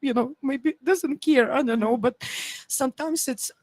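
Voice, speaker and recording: A woman's voice: sits high, darkish tone, dry recording. Ukrainian-accented woman, dry close sound. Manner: earnest, halting, non-native grammar. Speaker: guest. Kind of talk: personal testimony on a talk show.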